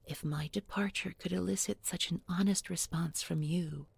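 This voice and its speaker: A woman's voice: fairly low pitch, steady tone, vocal fry, husky, heavily acted. A woman playing a bookish village beauty turned sly, menacing captor in an intimate audio roleplay, speaking with mock tenderness and often dropping to a whisper. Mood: sly, possessive. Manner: deliberate, coaxing, mock-tender with pointed irony. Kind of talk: intimate audio roleplay spoken to one listener.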